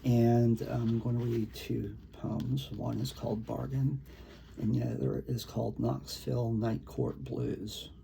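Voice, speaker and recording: Male poet, very creaky and mellow, casual and halting delivery between chat and reading, faint noise behind the voice. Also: mid-range voice, flat delivery. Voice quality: gravelly, creaky. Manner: halting, rambling, conversational. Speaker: poet reading own work. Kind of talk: casual talk and poetry reading.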